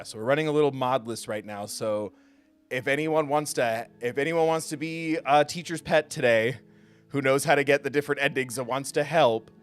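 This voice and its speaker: A man hosting a video game livestream, chatting casually and haltingly with viewers in a self-deprecating, joking way. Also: mid-range voice, fairly bright tone, clean tone. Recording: music behind the voice. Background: music.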